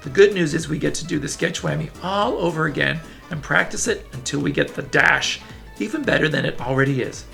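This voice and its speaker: A man's voice: on the high side, brisk, creaky, pushed and bright, faint music behind. A man reading a children's story aloud in a playful, enthusiastic way, with casual asides to young listeners. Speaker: children's book reader.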